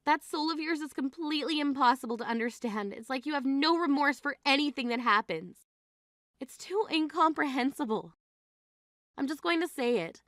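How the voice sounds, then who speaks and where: Woman acting exasperated high-voiced grieving sister. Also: animated, moderate pace, a bit bright, no room sound, clean studio sound. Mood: appalled. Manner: incredulous, reproachful. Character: exasperated grieving adult sister, indignant and scolding. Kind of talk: dramatized phone-call story dialogue.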